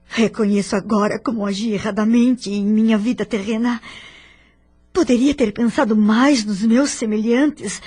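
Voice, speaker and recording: Casting: actress for tender, penitent Brazilian female spirit, slightly high husky voice, plaintive audio drama delivery. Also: fairly even intonation, moderate pace, dark tone. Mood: remorseful, plaintive. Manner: plaintive, sighing, formal. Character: humble, remorseful, yearning female spirit, devout and tender. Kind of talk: religious audio drama acting.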